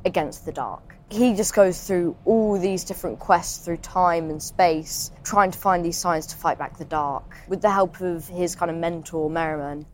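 A woman's voice: lowish voice, normal speed, hardly any pauses, darkish tone, slight background noise. A woman explaining in casual, simple, informal speech.

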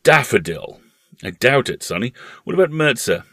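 A man voicing a jovial, well-to-do uncle in a storybook, booming and teasing as he talks. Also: big pitch swings, moderate pace, sharp tone, slightly rough, dry recording, clean studio sound, full character voice. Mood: blustering, amused. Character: hearty, amused, well-to-do adult uncle.

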